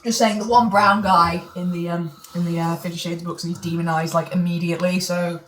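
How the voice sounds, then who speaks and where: A woman's voice: on the low side, flat delivery, brisk, slight background noise. A woman co-hosting, reading a novel aloud and mocking it in casual chat, full of fillers and restarts. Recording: echoey room, mic far off.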